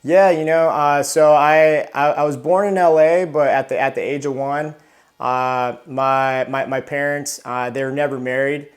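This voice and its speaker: Halting, flat-voiced retired Marine. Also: male voice, on the high side. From Southern California. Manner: halting, many restarts and repeated words. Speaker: interview guest, retired Marine. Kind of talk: casual interview.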